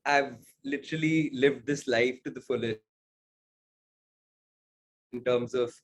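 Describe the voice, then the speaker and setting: A man answers interview questions as a singer-songwriter guest. He speaks reflectively, filling pauses with "uh" and "I think." His voice sits at an ordinary mid pitch. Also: steady tone.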